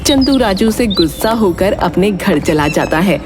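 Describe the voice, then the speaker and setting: A woman narrating a scripted animated story. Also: mid-range voice, unhurried, music in the background.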